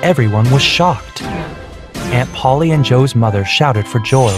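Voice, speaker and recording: A man's voice: mid-range voice, expressive, a little slow. Man, children's storybook narrator, scripted reading, mid-range voice, prominent background music.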